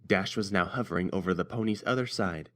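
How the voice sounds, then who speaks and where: Low-voiced man narrating a story. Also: a little fast, a bit bright.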